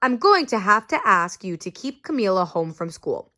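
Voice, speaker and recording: A woman's voice: medium pitch, sing-song, moderate pace, pushed and bright. A woman voicing an apologetic male school principal in a read-aloud, polite and regretful.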